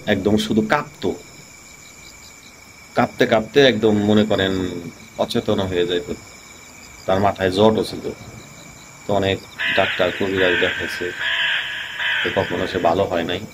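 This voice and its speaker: Deep-voiced man telling a ghost story. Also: noisy background. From Tangail, Bangladesh. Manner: rambling, many fillers and restarts. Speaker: channel host. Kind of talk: casual storytelling.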